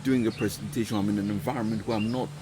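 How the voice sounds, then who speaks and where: Low-voiced man, measured guest. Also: steady tone. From Ghana. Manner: thoughtful, many restarts and fillers. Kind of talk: podcast interview.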